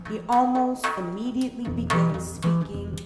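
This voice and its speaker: A woman narrating a scripted story in a measured, deliberate manner. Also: on the high side. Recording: roomy live performance with music. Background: percussion music.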